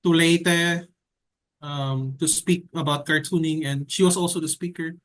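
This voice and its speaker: A young man from the Philippines with a Filipino accent, talking casually in an interview in a hesitant, measured way with frequent pauses. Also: on the high side, steady tone, fairly bright tone. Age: young adult. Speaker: editorial cartoonist being interviewed.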